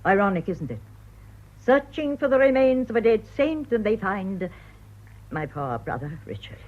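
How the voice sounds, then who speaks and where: Husky, scornful older woman. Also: mid-range voice, big pitch swings, moderate pace. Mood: bitter. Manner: scornful, ironic. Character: sharp, bitter older sister, bereaved and scornful. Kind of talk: radio drama acting. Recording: dull lo-fi radio recording.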